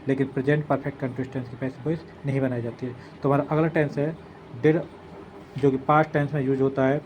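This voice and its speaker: A man's voice: medium pitch, a little mellow, low-quality recording. Methodical Indian male teacher, faint background noise. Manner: methodical, explanatory, repetitive. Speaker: English grammar teacher. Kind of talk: step-by-step classroom-style lesson.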